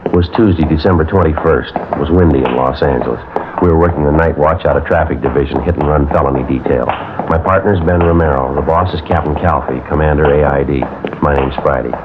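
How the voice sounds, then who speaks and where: An actor playing a terse, businesslike police sergeant with a clipped, deadpan delivery in a 1950s radio drama. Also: male voice, extremely low pitch, fast. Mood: flat, factual. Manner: clipped, matter-of-fact deadpan. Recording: tinny vintage radio recording with music.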